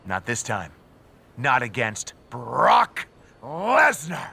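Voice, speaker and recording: Agitated male wrestling schemer, sharp voice, animated intonation, sneering, emphatic, game cutscene. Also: mid-range voice, very slow, gravelly, slightly rough, heavily acted. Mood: angry denial. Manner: theatrical, emphatic, taunting. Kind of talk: scripted wrestling drama cutscene.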